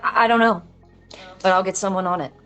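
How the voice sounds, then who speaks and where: A woman's voice: medium pitch, steady tone, a little fast, a bit bright, clear, resonant tone, soft background music, close to an everyday voice. A woman playing a composed, wry police lieutenant with a dry, placating manner in a film table read.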